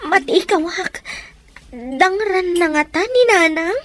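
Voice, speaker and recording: A woman's voice: very high-pitched, animated, measured pace, a bit bright, heavily acted. A woman playing a burdened, reproachful young eldest daughter in a radio drama, with a Filipino accent. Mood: worried.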